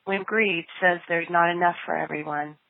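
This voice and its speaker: Low-voiced woman leading prayer, phone-like sound. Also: fairly even intonation, moderate pace, vocal fry. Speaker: prayer leader. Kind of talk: guided spoken prayer.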